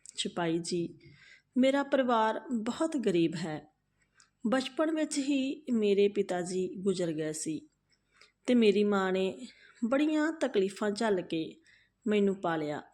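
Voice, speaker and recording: A woman storyteller voicing a poor, humble young male thief who pleads respectfully and haltingly in a folk tale. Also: medium pitch, sing-song, darkish tone. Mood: sorrowful.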